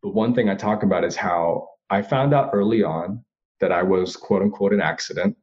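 A man from Florida speaks as an interview guest in a low voice, earnest and reflective, often chuckling. The sound is a little muffled, as if the recording is lo-fi. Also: normal speed, a little mellow. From Florida, USA. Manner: reflective, earnest, laughs lightly, acts out quoted people. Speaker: author and guest. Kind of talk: candid interview conversation.

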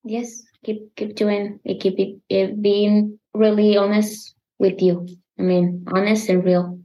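A woman's voice: mid-range voice, little pitch movement, dark tone, rough recording. Hesitant woman with a Mexican accent. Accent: Mexican Spanish. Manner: halting, many restarts and repeated words. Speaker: podcast guest. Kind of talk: casual interview chat.

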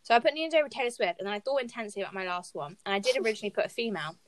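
A woman's voice: mid-range voice, racing, dry recording, poor audio. A young woman co-hosting a podcast, talking informally and animatedly with her friends. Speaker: podcast co-host. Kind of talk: casual chat among friends.

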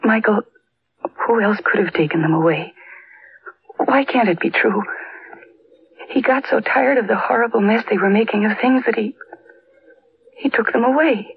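An actress playing a frightened, earnest young wife in an old-time radio drama, halting and pleading in her delivery. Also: a little high, husky. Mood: awed, earnest. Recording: noisy, dull old radio recording.

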